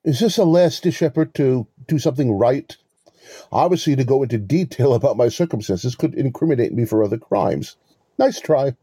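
Man acting a smug, dark-voiced, husky con artist. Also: mid-range voice, lively intonation, moderate pace, no echo. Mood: smug, self-assured. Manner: pompous, mock-courteous, lecturing. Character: smug, verbose male con artist posing as police lieutenant. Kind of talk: two-person audio play acting.

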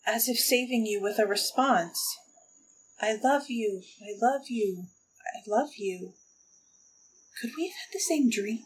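A woman's voice: a little high, measured pace, pauses often, a little hiss or hum, natural voice, poor audio. A woman acting a blunt, playful, quirky city woman in a romantic comedy audio play. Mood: wondering, romantic. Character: Destiny, brash, quirky, wry single city woman.

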